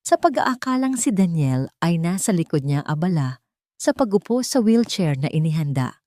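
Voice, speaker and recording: A woman's voice: on the low side, very animated, moderate pace, dark tone, no room sound, clean studio sound. A woman with a Filipino accent reading a scripted dramatic story as a narrator. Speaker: audiobook narrator.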